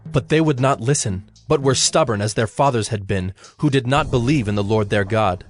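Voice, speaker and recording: A man narrating scripture from a script in a solemn, measured, formal manner. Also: mid-range voice.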